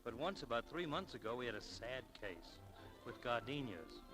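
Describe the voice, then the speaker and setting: An actor playing a chatty, folksy, philosophical café waiter in a 1940s radio drama, on an old broadcast recording. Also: male voice, medium pitch, big pitch swings, moderate pace, over music, natural voice, low-quality recording.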